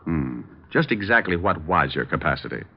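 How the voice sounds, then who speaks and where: A man's voice: deep voice, sing-song, moderate pace, heavily acted. A man acting a wisecracking, unflappable private detective in an old-time radio crime drama, with wry, flippant delivery. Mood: tough, mocking. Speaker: lead actor and narrator. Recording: dull vintage radio recording.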